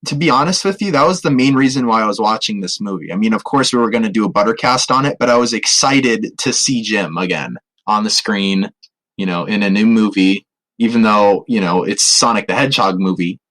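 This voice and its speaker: A man co-hosting a podcast, chatting casually and jokily about films in an animated, exclamatory way. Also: lowish voice, a little fast, rough recording. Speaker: podcast co-host. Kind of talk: casual, jokey film review chat.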